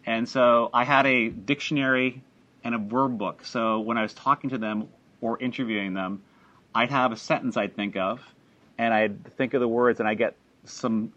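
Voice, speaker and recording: A low-voiced man talks an audience through how he made his documentary. He rambles, with restarts and fillers. The recording is dull and lo-fi. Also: a bit bright. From Canada. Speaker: filmmaker presenting his documentary. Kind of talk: talk to an audience after a screening.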